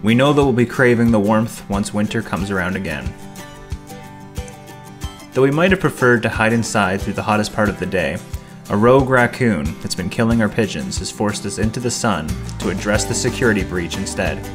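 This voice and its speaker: Low-voiced man narrating over guitar music. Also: lively intonation, moderate pace. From Canada. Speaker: homesteader and narrator. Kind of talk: scripted narration.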